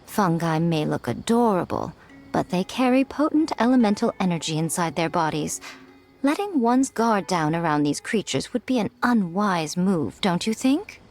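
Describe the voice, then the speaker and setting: A woman's voice: lowish voice, lively intonation, moderate pace, darkish tone. A woman voicing a shrewd, teasing noblewoman in a video game, with an elegant, wry and formal manner. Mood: cautious, composed. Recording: music playing behind the voice.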